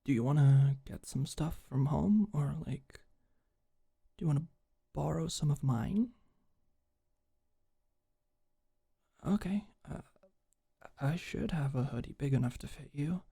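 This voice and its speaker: A young woman playing a tough but awkward, secretly tender delinquent girl in an intimate ASMR roleplay, speaking softly and hesitantly. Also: low voice, moderate pace, gravelly, slightly rough, heavily acted. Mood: relieved, awkward.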